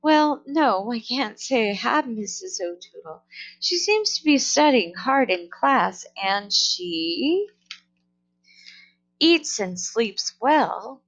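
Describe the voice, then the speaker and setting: Woman as a sympathetic, slow-spoken neighbour mother. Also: on the high side, animated, a bit bright, muffled recording, heavily acted. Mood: calm.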